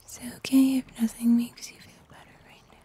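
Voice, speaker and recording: A young woman playing a gentle, comforting summer angel in an ASMR roleplay, whispering softly and soothingly, close to the microphone. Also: fairly high pitch, little pitch movement. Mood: tender, soothing. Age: young adult. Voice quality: soft, breathy whisper. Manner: gentle, soothing, slow and deliberate. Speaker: ASMR performer. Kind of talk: ASMR roleplay, scripted storytelling. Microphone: close sensitive microphone.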